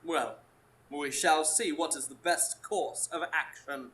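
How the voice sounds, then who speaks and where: Man playing a haughty, sharp-voiced Edwardian gentleman. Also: a little high, takes it slowly. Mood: grudging, stern. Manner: haughty, clipped, formal. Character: stern, resentful, hot-tempered upper-class Edwardian man. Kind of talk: live radio drama acting. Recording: live stage recording, faint ambient noise.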